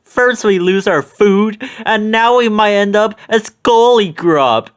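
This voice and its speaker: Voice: medium pitch, slow pace, clean tone, pitched above the performer's own voice, lightly acted. A reader voicing a cowardly, goofy young male hippie slacker with cartoonish exclamations in a storybook read-aloud. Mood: anxious, whiny. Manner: cartoonish exclamations, sprinkles speech with like.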